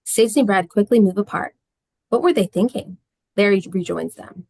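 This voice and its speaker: A woman's voice: mid-range voice, moderate pace, vocal fry, warm tone, breathy edge, no echo, clean studio sound. A woman narrating the stage directions of a screenplay at a table read.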